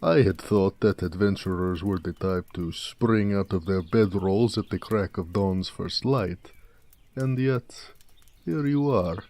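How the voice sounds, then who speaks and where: A man playing a gentle, affectionate orc blacksmith in a romantic audio roleplay, speaking tenderly with some teasing. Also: sits low, a little slow. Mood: fond, teasing.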